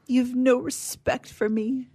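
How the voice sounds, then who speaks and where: A woman's voice: high-pitched, flat delivery, slow, warm tone, exaggerated voice. A woman acting a petulant, naive young romantic in a comedy radio play, pouting, plaintive and melodramatic. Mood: indignant.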